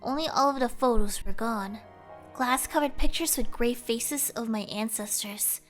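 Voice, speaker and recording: A higher-voiced woman reads a visual novel aloud in an expressive storytelling style, adding short comments of her own. Music plays behind her narration. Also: a little slow. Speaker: let's play host reading a visual novel aloud.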